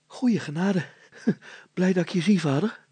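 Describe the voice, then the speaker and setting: A man's voice: sits high, unhurried, mellow, husky, no echo, pitched above the performer's own voice. A man voicing an anxious, panting young son, a big simple lad in a storybook tale. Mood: breathless relief. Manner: panting, worried, hesitant.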